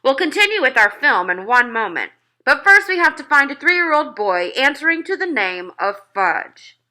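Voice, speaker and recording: Woman as a loud, formal cinema manager. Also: sits high, sing-song, normal speed, pitched above the performer's own voice, heavily acted. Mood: commanding. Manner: projecting, formal. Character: suited cinema manager man making a loud formal announcement.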